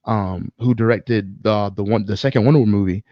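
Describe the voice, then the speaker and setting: A man co-hosting a casual podcast, speaking in an opinionated, emphatic way with swearing and halting pauses. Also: fairly low pitch, fairly even intonation, no echo, highs cut off. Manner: profane, emphatic, halting with pauses mid-thought. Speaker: podcast co-host. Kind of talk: casual film discussion, opinionated ranting.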